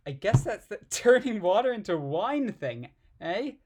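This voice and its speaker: A man's voice: high voice, very animated, unhurried, a little mellow. A man reading aloud and commenting casually in an irreverent, giggly, puzzled manner. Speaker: amateur reader and commentator.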